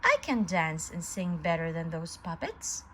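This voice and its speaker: A woman voicing a naughty, boastful, excitable little puppet boy in a storybook reading. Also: fairly low pitch, measured pace, a little hiss or hum, pitched below the performer's own voice, exaggerated voice. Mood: cheeky, boastful.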